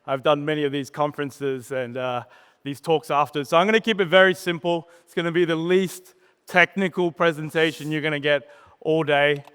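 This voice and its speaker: A man gives an informal, plain-spoken conference talk, telling personal stories with pauses and tag questions. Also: on the high side, fairly even intonation, a bit bright, smooth. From born in Sri Lanka, grew up in Australia. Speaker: startup co-founder, conference speaker. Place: conference stage in a large hall. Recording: reverberant hall PA sound.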